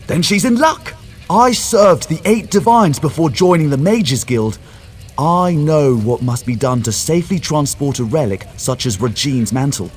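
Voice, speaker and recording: Scholarly game mage: cautious, formal, precise diction, raised pitch, faint music behind. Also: male voice, animated, measured pace. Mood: eager, confident. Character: scholarly male mage and former priest, cautious, anxious. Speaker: quest-giving character. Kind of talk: video game dialogue.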